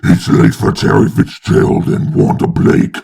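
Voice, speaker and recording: A man with a very gravelly, breathy rasp giving dramatic scripted narration of a comic book. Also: a little slow, dark tone.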